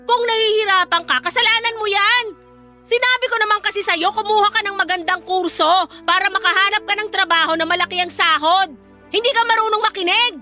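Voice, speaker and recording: A woman's voice: very high voice, brisk, sharp tone, faint music behind. An actress playing a domineering, resentful middle-aged Filipino mother who scolds and accuses in a radio drama. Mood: scornful. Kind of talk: emotional radio drama acting.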